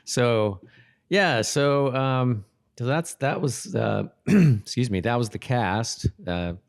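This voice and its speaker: A man's voice: mid-range voice, lively intonation, slow pace, no room sound, studio quality. A man co-hosting a podcast in casual conversation, rambling easily and correcting himself as he goes. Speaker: podcast host. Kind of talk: casual rewatch podcast chat.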